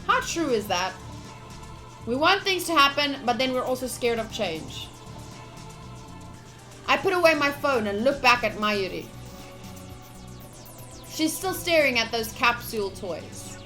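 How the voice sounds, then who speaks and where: Young woman, fairly high voice, expressive game streamer, reads story text aloud, casual asides, music behind. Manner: expressive, gushy, slips into cute exclamations. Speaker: streamer playing a visual novel. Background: soft game music.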